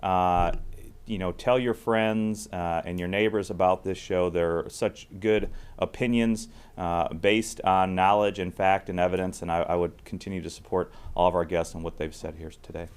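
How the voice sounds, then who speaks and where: A man's voice: low voice, steady tone, normal speed, clear, resonant tone. A man giving unscripted closing remarks as a panelist.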